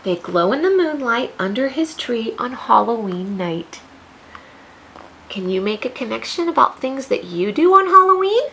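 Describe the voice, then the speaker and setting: An American woman teacher reading a picture book aloud to young children, expressive, with questions and teaching asides. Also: a little high, normal speed, a little hiss or hum.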